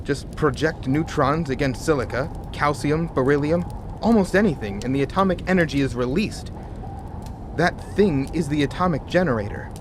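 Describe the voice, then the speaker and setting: An actor playing a sharp-minded, wry polar scientist with an explanatory manner in a radio drama. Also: male voice, a little high, moderate pace, natural voice. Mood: awed explaining. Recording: noisy outdoor-like ambience behind dialogue.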